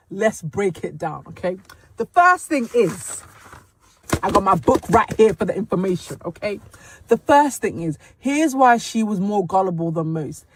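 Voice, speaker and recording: A woman's voice: a little high, dry recording. A woman hosting reaction commentary who breaks things down in a sassy, emphatic way. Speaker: commentary host.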